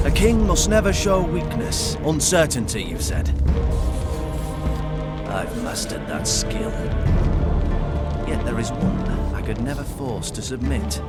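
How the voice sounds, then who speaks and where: A cold, vengeful young king sneers out judgment in a video game. His voice swings sharply between hushed threats and sudden raised bursts. A loud orchestral score swells behind him. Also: a little high. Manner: menacing, bitter, commanding.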